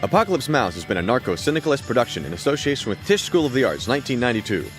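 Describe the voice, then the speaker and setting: A male announcer reads credits in old-time radio style. His delivery is quick and very lively in pitch, over faint music.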